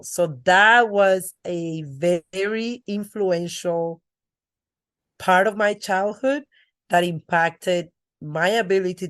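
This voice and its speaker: A middle-aged woman from the Republic, a podcast guest telling her life story earnestly, with restarts. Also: on the low side. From Dominican Republic. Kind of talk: podcast interview.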